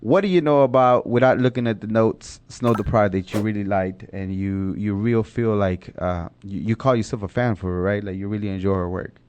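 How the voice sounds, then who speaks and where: A man's voice: fairly low pitch, fairly quick, hardly any pauses, a little mellow, clean tone. A man whose first language is Spanish, hosting a casual podcast chat and rambling with lots of self-corrections. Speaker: podcast host.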